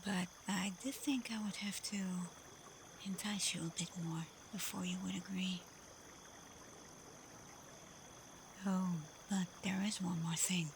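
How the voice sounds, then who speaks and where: A woman playing a confident, protective, teasing female werewolf alpha in a soft, breathy, often whispered ASMR roleplay voice. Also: fairly low pitch, fairly even intonation, normal speed, a little hiss or hum, close to an everyday voice. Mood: firm, reassuring. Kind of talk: slow ASMR roleplay addressed to the listener.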